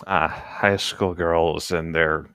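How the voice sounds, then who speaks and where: A man's voice: low voice, fairly even intonation, slow pace, fairly bright tone, clean tone, no echo. A man co-hosting a book read-aloud, switching between narrating a novel and casual, slightly hesitant joking commentary.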